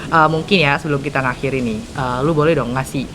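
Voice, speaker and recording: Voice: medium pitch, quick pace, a bit bright, a little hiss or hum. Teen podcast host with Jakarta accent. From Indonesia. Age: teenager. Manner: informal, mixes English into slangy speech. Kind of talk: casual interview chat.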